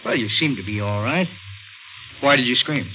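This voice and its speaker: An actor playing a patient, skeptical police detective in a 1950s radio mystery, soothing and a little hesitant. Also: male voice, mid-range voice, moderate pace, bright, forceful tone, natural voice. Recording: muffled old radio recording, faint noise.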